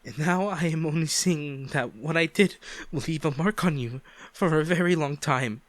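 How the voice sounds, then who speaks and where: A man acting a guilt-ridden ex-boyfriend pleading for forgiveness in an intimate audio roleplay, halting and emotional. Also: on the high side, no room sound, lightly acted. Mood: remorseful, distressed. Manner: halting, emotional, sighing, repeats himself.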